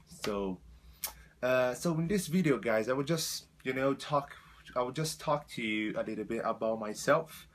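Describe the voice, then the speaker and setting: A man in his twenties from Brazil with a Brazilian Portuguese accent, speaking halting second-language English as he tells his life story to the camera with many fillers. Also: medium pitch, slight background noise. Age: 20s. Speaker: vlogger.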